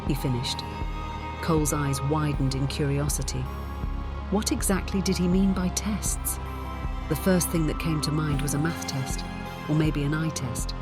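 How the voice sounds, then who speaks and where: A narrator reading scripted fiction for an audiobook. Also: mid-range voice.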